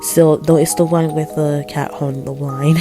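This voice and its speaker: A teenage girl with a raspy voice from a cold, chatting casually in a rambling voice-over with apologetic asides. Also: deep voice, level tone, normal speed, over music. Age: teens. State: hoarse from a cold. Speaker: art vlogger.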